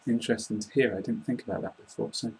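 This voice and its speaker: A man's voice: fairly low pitch, fairly even intonation, fast talker, vocal fry, darkish tone, slightly rough, low-quality recording. A British man in his twenties hosting an informal interview, polite and explanatory, recorded on a laptop webcam in a small room. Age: 20s. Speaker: host, English teacher. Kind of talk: casual interview chat.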